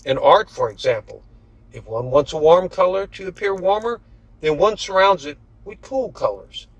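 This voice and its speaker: A man's voice: sits high, animated, normal speed, no echo, highs cut off. Dry-sounding male author narrating. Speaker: author reading own novel. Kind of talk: scripted audiobook narration.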